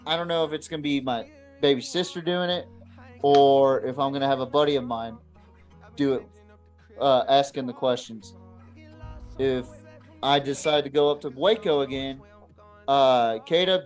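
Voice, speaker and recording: A man co-hosting a podcast, chatting casually to viewers in a blunt, swearing, rambling way. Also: medium pitch. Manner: profane, blunt. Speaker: podcast co-host.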